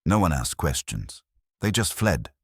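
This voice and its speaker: A man reads a wronged woman client in a detective audiobook. The voice stays low, with lively rises and falls. The retorts are guarded, bitter and terse, in a dry recording. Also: unhurried, breathy edge, no room sound, full character voice.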